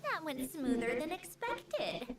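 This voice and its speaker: A woman's voice: high voice, sing-song, slow pace, full character voice. An actress playing a reserved, independent young woman scientist in a video game, speaking precisely and dryly. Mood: cool, detached. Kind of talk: scripted video game voice acting. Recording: game audio with music, slightly roomy.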